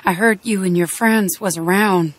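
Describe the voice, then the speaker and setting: An actress playing a reserved, wistful widow in a Western game. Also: female voice, medium pitch, moderate pace, a little hiss or hum, natural voice. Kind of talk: dramatic game cutscene acting.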